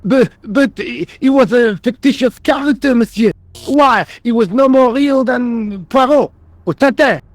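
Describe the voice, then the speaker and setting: High-pitched, earnest French police sidekick. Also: male voice, measured pace, dry recording. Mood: eager, flustered. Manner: deferential, earnest, literal-minded. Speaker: police sergeant, assistant. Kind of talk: video game voice acting.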